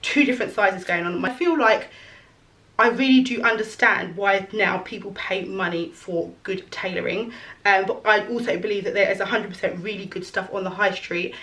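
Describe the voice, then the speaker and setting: A woman in her thirties, chatting casually about her clothes as a fashion vlogger, rambling and correcting herself as she goes, her voice going a little hoarse. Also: mid-range voice, steady tone, moderate pace, bright, forceful tone, distant mic. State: losing her voice. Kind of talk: casual fashion try-on chat.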